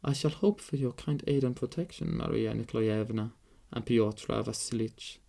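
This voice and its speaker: A man's voice: medium pitch, level tone, brisk, mellow, close to an everyday voice. Casting: male reader as elderly down-at-heel princess, self-pitying, sing-song, unpleasant, for audiobook. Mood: ingratiating. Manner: sing-song, theatrical lamenting. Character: disagreeable, self-pitying impoverished old princess. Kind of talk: audiobook character voice.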